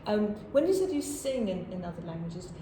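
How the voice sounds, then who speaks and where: Woman interviewer, encouraging and chatty, with clear room noise under her voice. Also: mid-range voice, big pitch swings, brisk. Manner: warm, affirming, frequent yeahs. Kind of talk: interview conversation. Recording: echoey room with audible noise.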